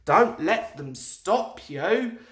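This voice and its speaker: A woman voicing a brisk adult male engine driver giving practical warnings. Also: fairly low pitch, very animated, reverberant, full character voice. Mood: urgent.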